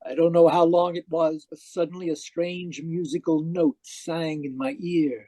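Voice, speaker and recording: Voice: mid-range voice, steady tone, measured pace, warm tone, lightly acted. An actor in a radio mystery playing a distraught, newly dead spiritualist, dramatic and earnest. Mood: haunted narration. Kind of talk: old-time radio mystery acting and narration.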